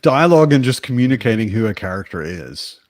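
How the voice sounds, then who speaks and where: A man's voice: on the low side, big pitch swings, a little fast, no room sound. A man casually discussing books in a reflective, somewhat hesitant way. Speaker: book discussion participant.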